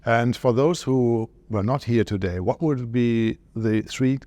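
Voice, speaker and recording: A man's voice: mid-range voice, a little slow, warm tone, no echo. A middle-aged man hosting an on-site interview at a conference. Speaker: interviewer, host. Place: medium conference room, indoors.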